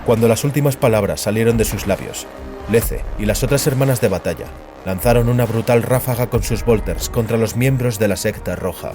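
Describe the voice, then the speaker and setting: A man's voice: on the low side, brisk, over music. A man giving a dramatic narration of scripted fiction as an audiobook narrator.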